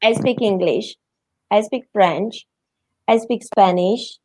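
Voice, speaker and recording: A middle-aged woman from Romania with a Romanian accent, answering interview questions haltingly as she searches for words in English. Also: mid-range voice, darkish tone, no echo, low-quality recording. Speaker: interview guest.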